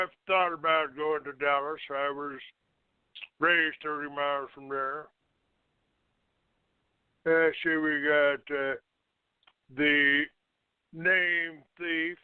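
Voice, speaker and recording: Slow, combative man on a tinny line. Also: a little high, steady tone, smooth, dry recording. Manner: combative, accusatory, halting. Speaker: internet call-in show host. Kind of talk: unscripted talk show rant. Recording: narrow, tinny phone-like line.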